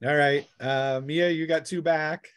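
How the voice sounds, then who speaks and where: A man's voice: fairly high pitch, steady tone, measured pace, smooth, dry recording. A man acting as game master, narrating a tabletop game in plain, colloquial play-by-play.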